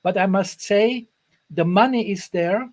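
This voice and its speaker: A man from Ghana with a high-pitched voice talks earnestly on a video call. He often circles back and repeats his phrases before going on. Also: a little slow, a little mellow. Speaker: guest, rail project executive. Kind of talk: online panel discussion.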